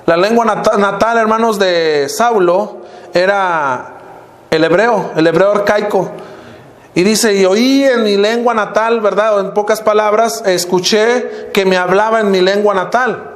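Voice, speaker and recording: A man's voice: a little slow, reverberant. An older man with a Mexican accent preaching a sermon as minister, often calling out to the brothers and letting his voice fall at the end of phrases. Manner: preaching, often addressing the congregation as brothers, pitch dropping at phrase ends. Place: church hall.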